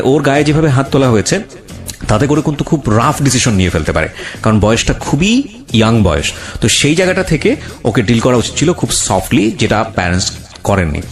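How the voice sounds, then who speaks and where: A man with a Bangladeshi accent hosting a talk show, giving earnest, emphatic advice to listeners and mixing in English phrases. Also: medium pitch. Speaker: radio show host. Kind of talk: conversational commentary and advice to listeners. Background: background music.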